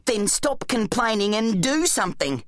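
Woman voicing an exasperated, high-pitched boy hero. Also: big pitch swings, moderate pace, dry recording, studio quality. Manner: insistent, glum. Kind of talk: acted audiobook dialogue.

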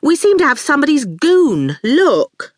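A nervous young woman student, voiced by a woman narrator in a storybook. The high voice flutters and jitters, always on edge. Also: big pitch swings, a little slow, no room sound, studio quality. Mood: flustered relief.

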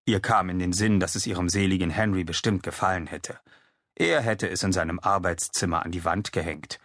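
A man's voice: deep voice, a bit bright, dry recording, clean studio sound. A man in his forties reading scripted literary narration as an audiobook narrator. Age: 40s.

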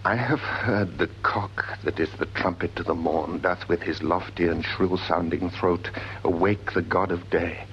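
A grave scholar in a classical radio play, speaking in a low, declamatory voice. He is learned and stately, shaping verse with measured rhetoric. The recording is dull and old, with some noise. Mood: awed. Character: learned, grave gentleman scholar confronting dread omens. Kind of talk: classical Shakespearean radio drama acting. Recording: dull, noisy vintage drama recording.

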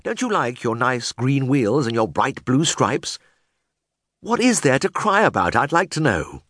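A man's voice: mid-range voice, sing-song, measured pace, fairly bright tone, husky, no room sound, clean studio sound, pitched above the performer's own voice, heavily acted. A man voicing a kindly, bemused toymaker in a storybook, musing aloud to his toy. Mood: puzzled, coaxing. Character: kindly, bemused old-fashioned male toymaker, master of his toys.

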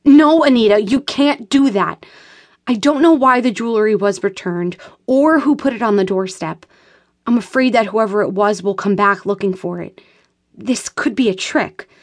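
A woman reading an audiobook gives voice to a frantic, fearful young woman, pitching her speech up and pleading urgently. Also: normal speed, natural voice. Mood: insistent.

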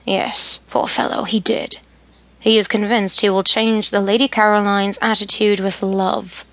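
A woman voicing Charles, a stern, teasing young nobleman, in a slightly lowered, firm voice while reading a story. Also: level tone, measured pace, fairly bright tone, no echo.

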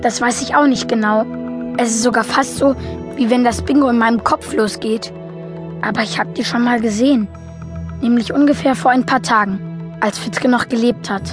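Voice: medium pitch, fairly even intonation, normal speed, music in the background, lightly acted. A young performer playing a naive boy who narrates a German film audio drama in a simple, matter-of-fact way. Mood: earnest.

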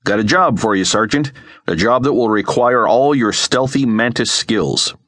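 A man's voice: mid-range voice, normal speed, a bit bright, no echo, clean studio sound, close to an everyday voice. A man voicing a confident, wry male special-operations leader in an audiobook adventure story. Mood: scheming. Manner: assured, wry.

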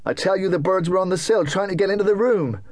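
A man's voice: high voice, fast, darkish tone, dry recording, pitched above the performer's own voice, natural voice. A man voicing an agitated countryman, a husband and father, urgent and emphatic, in an audiobook.

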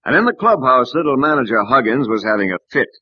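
An actor playing a loyal, anxious American sportswriter, earnestly narrating and acting in an old-time radio drama. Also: male voice, mid-range voice, big pitch swings, fast talker, slightly rough, no echo. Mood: tense narrating. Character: loyal, worried male sportswriter friend, narrating. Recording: dull, dry old radio recording.

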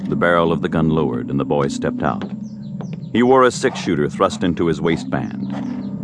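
A man's voice: a bass voice, very noisy, heavily acted. A man narrating a scripted Old West tale as a grave storyteller.

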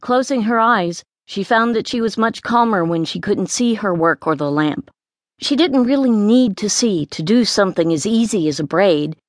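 A woman's voice: on the low side, no echo, studio quality. A woman reading a story aloud as an audiobook narrator, in scripted narration.

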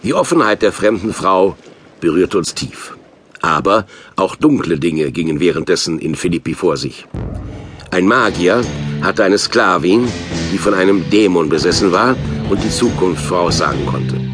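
A man playing a physician who narrates Bible adventures in a measured, reflective way for a children's audio drama. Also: low voice, animated, fairly bright tone, faint music behind. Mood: solemn, narrating.

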